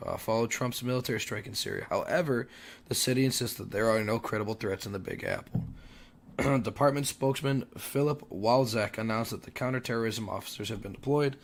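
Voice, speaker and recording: Man, young adult, sick and losing his voice, throat clearing, halting commentary, middle pitch. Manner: frequent throat clearing and filler pauses; halting, restarts mid-sentence. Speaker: podcast host. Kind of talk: solo political commentary.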